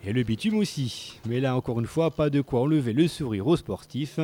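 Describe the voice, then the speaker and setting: A man's voice: mid-range voice, brisk, warm tone, slight background noise. A man narrating a scripted local TV report in a playful, tongue-in-cheek manner.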